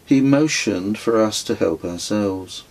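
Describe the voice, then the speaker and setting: A man's voice: on the low side, measured pace, a little mellow. A middle-aged man reading his own travel memoir as an audiobook. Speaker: author reading own book.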